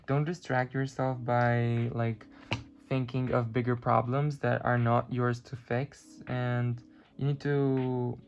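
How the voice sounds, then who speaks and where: Gravelly halting card reader, music behind. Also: medium pitch, level tone, muffled recording. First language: not English. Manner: halting, many fillers, searching for words. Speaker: tarot and oracle card reader. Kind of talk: pick-a-card spiritual reading. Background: clear background music.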